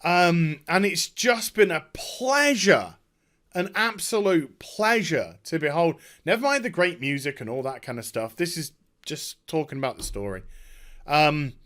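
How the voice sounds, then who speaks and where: A man chatting off the cuff about a video game as a streamer, effusive and overwhelmed, often halting, repeating himself and trailing off. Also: on the high side, expressive, fairly bright tone. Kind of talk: unscripted rambling game review chat.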